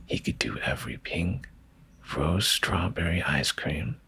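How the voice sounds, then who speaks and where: Very deep, gravelly man whispering a bedtime story. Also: lively intonation. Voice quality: breathy, gravelly low whisper. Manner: slow, hushed, deliberate delivery with pauses. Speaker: ASMR streamer reading bedtime stories. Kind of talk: ASMR bedtime story narration.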